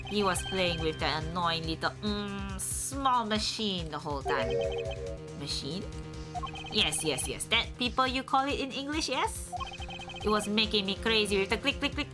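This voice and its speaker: A woman's voice: mid-range voice, expressive, normal speed, close to an everyday voice. A woman voicing a vain, impatient male art dealer with a made-up mix of European accents, speaking in a haughty, theatrical way. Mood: irritated. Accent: muddled mix of French, Italian and Russian put-on. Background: game music.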